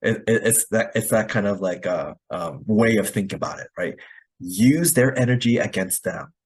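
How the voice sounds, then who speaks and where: A man's voice: lowish voice, expressive, moderate pace, breathy edge. A man hosting an online discussion, explaining ideas casually and often checking in with a short tag question. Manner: explains with game analogies, checking with "right?".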